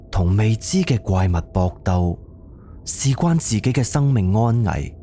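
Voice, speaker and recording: A man's voice: lowish voice, warm tone, slight background noise. A man with a Cantonese accent narrating a horror mystery audio drama in a dramatic storytelling style.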